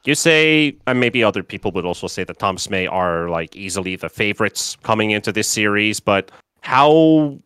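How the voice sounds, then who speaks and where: A man with a Bulgarian accent doing live esports commentary, a bit nasal and slightly sore from a flu, relaxed and chatty with many fillers. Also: on the low side, clean tone, dry recording, clean studio sound. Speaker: esports caster.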